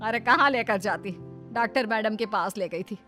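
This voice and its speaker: A woman's voice: mid-range voice, normal speed, pushed and bright, soft background music, close to an everyday voice. A woman voicing a domineering older mother-in-law in a cartoon story, with a scolding, insistent and commanding manner. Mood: angry, scolding. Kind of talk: Hindi cartoon story voice acting.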